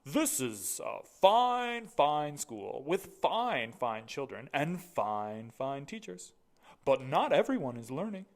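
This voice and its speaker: Someone playing a booming, overenthusiastic male school principal. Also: big pitch swings. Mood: solemn. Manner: booming, grandly drawn-out exclamations.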